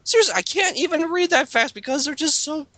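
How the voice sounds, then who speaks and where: Bright-voiced man, exasperated and stumbling. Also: very high-pitched, animated, fairly quick, no echo. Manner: exasperated, stumbling over words. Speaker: group reader mocking a written character bio. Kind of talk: casual riffing and reading aloud.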